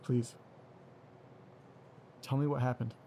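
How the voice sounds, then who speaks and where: A man voicing a nervous young investigator in a horror audio drama, tripping over his words and apologizing as he goes. His voice is soft and dark in tone, sitting at an ordinary middle pitch. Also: level tone, slow pace, slight background noise. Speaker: lead character.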